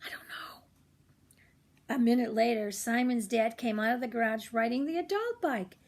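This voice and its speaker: Husky older woman reading a children's storybook. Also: fairly high pitch, a little fast, darkish tone. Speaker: children's read-aloud host. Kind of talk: expressive storybook narration with chatty asides to children.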